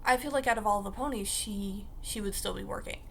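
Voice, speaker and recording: A young woman vlogging in a casual, rambling way, her voice raspy because she just woke up. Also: mid-range voice, brisk, fairly bright tone, a little hiss or hum. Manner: rambling, chatty, full of fillers like "like" and "uh". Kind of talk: casual talk to camera.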